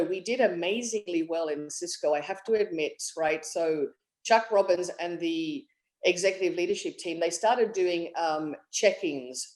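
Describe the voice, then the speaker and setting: A woman's voice: on the low side, darkish tone, rough recording. A woman in her late forties with an Australian accent, chatting upbeat as a guest in a virtual interview. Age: late 40s. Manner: chatty and upbeat, many fillers. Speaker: guest, tech executive.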